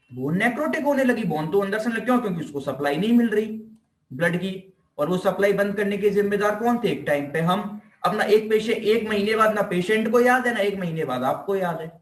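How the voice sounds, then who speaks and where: An Indian-accented man with a high voice teaches in an informal, explanatory way. He stresses points by repeating them and throws out rhetorical questions. The room echoes around him and the microphone sounds some way off. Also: animated, brisk, distant mic. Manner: emphatic, repeats key phrases, asks rhetorical questions; mixes in English technical terms. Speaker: physiotherapy lecturer.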